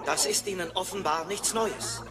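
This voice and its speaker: Man playing a fussy, high-voiced male droid. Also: moderate pace, natural voice. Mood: formal, reporting. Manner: prim, formal, precise. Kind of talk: radio play acting. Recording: reverberant studio drama with music.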